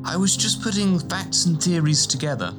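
A man's voice: mid-range voice, big pitch swings, moderate pace, pitched above the performer's own voice, close to an everyday voice. A man voicing a timid, scholarly fellow who speaks in wordy, formal, tentative phrases for an audiobook. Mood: hesitant, curious. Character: hesitant, scholarly, timid man with pedantic courtesy. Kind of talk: audiobook character dialogue. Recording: music bed behind the voice.

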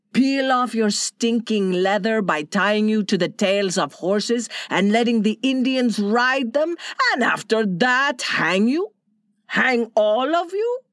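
A woman's voice: on the low side, no echo, clean studio sound. A woman playing a fierce foul-mouthed leader. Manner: scornful, cursing, commanding. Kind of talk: audiobook character reading.

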